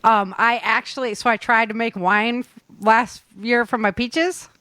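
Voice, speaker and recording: A woman's voice: fairly high pitch, fairly even intonation, measured pace, sharp tone, dry recording. A woman hosting a casual chat, talking in an informal, slangy and effusive way. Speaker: host.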